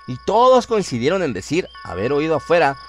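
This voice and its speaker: A man's voice: on the high side, wildly swooping pitch, brisk. A man narrating a horror story in a dramatic, scripted storytelling style. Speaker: narrator of horror audio stories.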